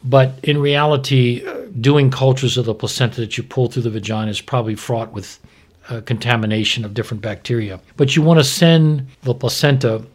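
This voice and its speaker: A middle-aged American man, a doctor, explaining medical matters informally in a frank, measured way. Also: mid-range voice, steady tone. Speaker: maternal fetal medicine doctor, podcast expert.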